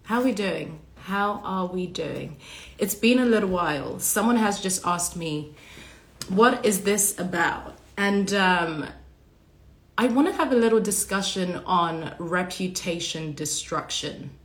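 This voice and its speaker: A woman hosting a casual livestream monologue, hoarse and raspy from a cold, talking passionately and bluntly with casual swearing. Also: lowish voice, lively intonation, a little slow, roomy sound. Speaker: podcast host.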